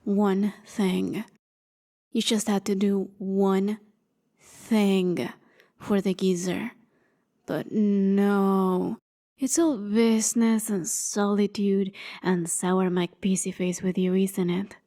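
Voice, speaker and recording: A woman's voice: medium pitch, little pitch movement, slow pace, mellow. A woman playing an exasperated, sarcastic gambling deity who scolds in a theatrical way, in a fantasy ASMR roleplay.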